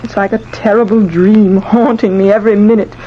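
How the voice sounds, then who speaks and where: Terrified young bride-to-be played by a woman, halting and dramatic, mid-range voice, muffled vintage radio drama. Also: medium pitch, steady tone, natural voice. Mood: frightened, pleading. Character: frightened young bride-to-be haunted by a ghost. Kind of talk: 1950s radio drama acting. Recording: dull, noisy old radio recording.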